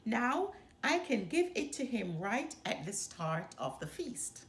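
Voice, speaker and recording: A woman's voice: on the high side, sing-song, slow pace, a little hiss or hum. A woman voicing a cheerful, eager young island girl, a chief's daughter, in a roomy storybook read-aloud. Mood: proud, eager.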